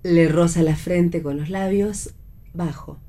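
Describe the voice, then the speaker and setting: A woman's voice: on the low side, slow, warm tone. A woman narrator reading the stage directions of a play in scripted narration.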